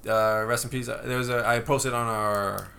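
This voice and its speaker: A man hosting a casual wrestling podcast, relaxed and steering the talk with "um" and "uh". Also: mid-range voice, moderate pace, gravelly. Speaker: podcast host. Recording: faint background noise.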